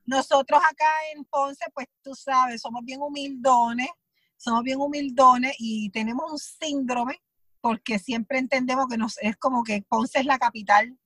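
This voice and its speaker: A woman's voice: sits high, unhurried, slightly rough, no room sound. A woman actress from Puerto Rico with a Puerto Rican accent, telling anecdotes in an interview, acting out her own thoughts as she goes. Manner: animated storytelling, acts out her own thoughts in quotes. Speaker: actress, guest. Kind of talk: conversational interview.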